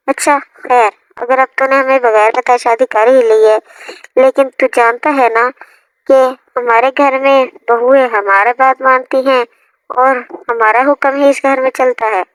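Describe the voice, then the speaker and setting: A woman's voice: high voice, steady tone, unhurried, smooth, dry recording, poor audio. A woman voicing an arrogant, bossy older mother-in-law in a family cartoon, scolding and imperious.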